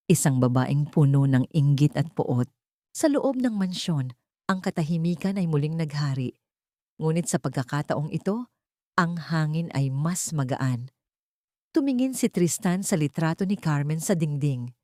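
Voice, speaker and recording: A woman's voice: sits low, dark tone, dry recording, studio quality. A woman reading scripted narration of a romance story as an audiobook, with dramatic storytelling.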